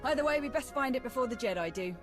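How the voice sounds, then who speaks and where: A wry female military major, loud music. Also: sits high, expressive, fast. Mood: wry, businesslike. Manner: dry, sardonic, informal clipped phrasing. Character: wry, battle-hardened female Imperial major, teasing and brisk. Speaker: military officer character in a video game. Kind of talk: video game voice acting. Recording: loud game music behind.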